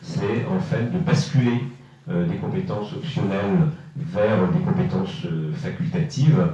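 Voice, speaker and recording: A man with a low, mellow voice presents to a municipal council as a councillor. He explains step by step, haltingly, with many drawn-out hesitations. The sound is heavily echoing, picked up by a distant microphone. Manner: halting, hesitant delivery with frequent long filler pauses. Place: reverberant indoor meeting room. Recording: echoey, muffled room, distant mic.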